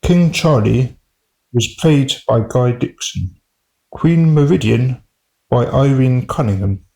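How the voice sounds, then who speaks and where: Male announcer for scripted end credits. Unhurried, gravelly fry. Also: medium pitch, animated, warm tone, poor audio.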